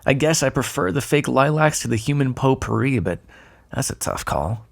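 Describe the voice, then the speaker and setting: Gravelly, breathy seductive male vampire, acted by a man. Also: medium pitch, animated, moderate pace. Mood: dry teasing. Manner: taunting, drawling, flirtatious. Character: predatory, teasing male vampire, smug and seductive. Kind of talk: ASMR roleplay acting.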